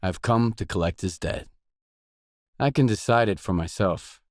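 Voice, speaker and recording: An actor playing a blunt, self-reliant mercenary stalker in a video game, speaking in a terse, matter-of-fact way. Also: male voice, on the low side, moderate pace, darkish tone, no room sound, studio quality, close to an everyday voice. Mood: firm, defiant. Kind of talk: video game dialogue lines.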